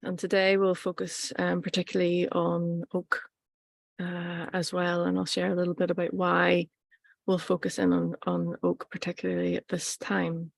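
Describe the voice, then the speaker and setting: A woman teaching informally, speaking in a measured, reflective way with pauses between short phrases. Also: on the low side, gravelly, no room sound. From Ireland. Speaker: workshop teacher and plant practitioner.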